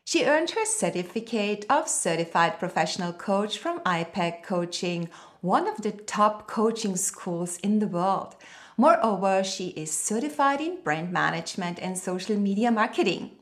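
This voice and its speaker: A woman's voice: medium pitch, expressive, measured pace. Earnest woman podcast host. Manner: earnest, reads guest bio formally. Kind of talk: scripted intro, then interview questions.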